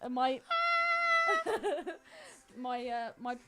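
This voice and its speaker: A woman co-hosting a podcast with casual banter, blunt, teasing and quick to swear. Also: high-pitched, animated. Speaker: podcast co-host. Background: music playing behind the talk.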